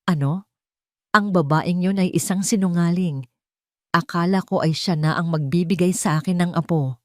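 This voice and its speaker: A woman's voice: on the low side, moderate pace, no room sound, natural voice. Protective, indignant Filipino matriarch for audio melodrama, voiced by female narrator, dry recording. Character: protective, indignant wealthy older mother.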